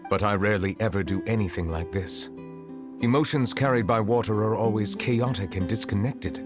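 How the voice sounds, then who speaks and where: A man voicing a dignified, reserved chief justice in a video game, formal and composed, with measured wording. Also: lowish voice, natural voice. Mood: reflective. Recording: music behind the voice.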